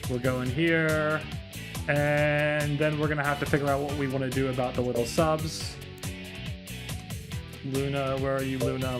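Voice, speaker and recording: Guy streaming a game, slangy offhand chat, thinking out loud, music playing behind, average pitch. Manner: slangy, thinking aloud, mild swearing. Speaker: livestreamer playing a visual novel. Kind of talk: casual livestream chat while troubleshooting.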